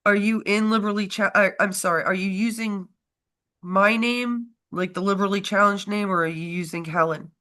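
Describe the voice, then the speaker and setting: A woman's voice: mid-range voice, steady tone, brisk, bright, forceful tone. A stuffed-up woman co-hosting a casual political chat livestream, rambling and breaking off mid-sentence, often laughing. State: stuffed up from a cold. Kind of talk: casual live-stream chat.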